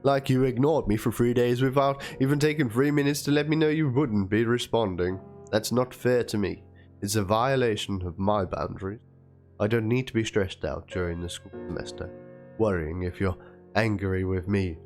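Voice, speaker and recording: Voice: medium pitch, moderate pace, darkish tone. Theatrical, aggrieved dramatic reader over music. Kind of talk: dramatic reading of messages and a document. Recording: music under the voice.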